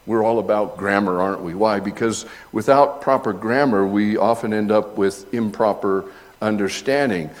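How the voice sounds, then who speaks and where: A man's voice: fairly low pitch, moderate pace. A middle-aged man teaching a sermon, explaining scripture step by step and growing emphatic when he reads passages aloud. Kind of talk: expository sermon.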